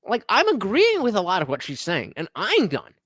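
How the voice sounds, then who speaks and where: A man hosting a live stream, reacting to a debate clip with quick, profane asides. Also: sits high, huge pitch swings, fairly bright tone, no room sound, studio quality.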